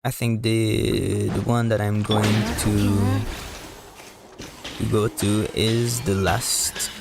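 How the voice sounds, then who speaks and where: A man giving casual, halting and rambling live commentary as a video game streamer, often repeating words. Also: fairly low pitch, little pitch movement, creaky, over loud music.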